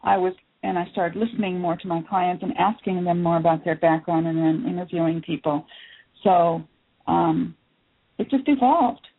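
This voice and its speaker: A middle-aged woman psychologist who grew up in Taiwan, answering interview questions thoughtfully with many pauses and fillers. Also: on the low side, breathy edge. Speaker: psychologist guest. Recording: tinny phone line.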